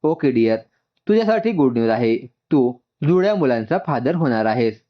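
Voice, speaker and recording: A man's voice: medium pitch, expressive, normal speed, highs cut off, natural voice. A man voicing a doting old grandfather sharing happy news affectionately. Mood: cheerful. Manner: warm, affectionate.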